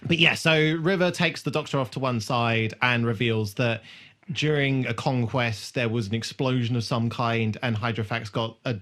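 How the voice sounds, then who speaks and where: A man with a mid-pitched voice co-hosts a podcast in casual conversation. He is jokey and swears freely as he recaps a television episode. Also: steady tone, moderate pace, fairly bright tone. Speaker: podcast co-host.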